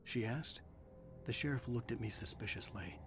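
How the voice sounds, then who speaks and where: A male actor playing a troubled writer narrating his own thriller in the first person, measured and serious. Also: medium pitch, animated, natural voice. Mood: brooding, wary. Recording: music under the voice.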